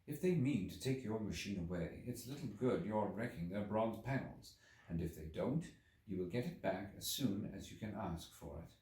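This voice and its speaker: A man reading a literary story aloud as an audiobook, with theatrical, expressive delivery. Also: on the low side. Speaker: audiobook reader. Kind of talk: dramatic literary audiobook narration. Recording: roomy echo, distant microphone.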